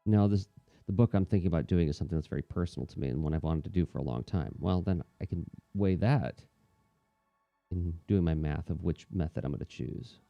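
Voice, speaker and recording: A man's voice: low-pitched, brisk, gravelly, dry recording, lo-fi sound, soft background music. A man in casual, reflective chat, talking in a thoughtful, rambling, conversational way as an artist hosting a livestream.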